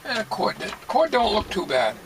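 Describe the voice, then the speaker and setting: An older man talking casually as he works at a bench in a home workshop, plain-spoken and musing, with halting delivery. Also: sits high, huge pitch swings, vocal fry, fairly bright tone. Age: 60s or older. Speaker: hobbyist radio restorer. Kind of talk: casual talking while working. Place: cluttered home electronics workshop, indoors. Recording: noisy home workshop recording.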